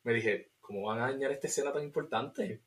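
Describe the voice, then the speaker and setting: A man with a Puerto Rican accent co-hosting a podcast, reviewing a film in an animated, casual way and slipping into English. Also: mid-range voice, a little fast. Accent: Puerto Rican Spanish. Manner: animated, laughs often, mixes in English. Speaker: podcast co-host.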